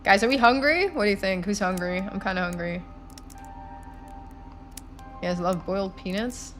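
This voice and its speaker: A woman's voice: medium pitch, normal speed, music in the background. A chatty woman live streaming a game, talking to viewers and reacting aloud as she reads.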